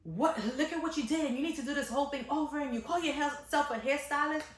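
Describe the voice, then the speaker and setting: Woman acting out an outraged, high-pitched scolding mother. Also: fast, echoey room, natural voice. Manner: indignant, demanding, scolding. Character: angry, confrontational mother of a salon client.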